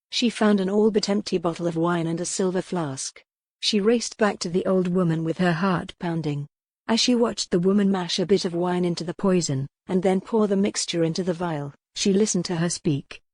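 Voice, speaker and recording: Female audiobook narrator, lowish pitch, measured, dramatic storytelling, historical romance, dry sound. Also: a little mellow, no room sound.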